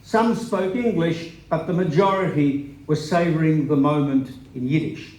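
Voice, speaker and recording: A man's voice: fairly high pitch, measured pace, darkish tone. A man giving a scripted dramatic reading of a memoir on stage in an echoey hall. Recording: echoey live hall recording.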